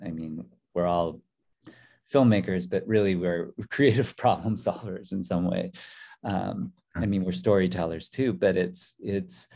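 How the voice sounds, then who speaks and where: A man's voice: deep voice, steady tone, a little slow, creaky, warm tone. A man in his forties, a documentary co-director, speaking thoughtfully on a video call from a hotel room, with many fillers and restarts. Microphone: video call webcam.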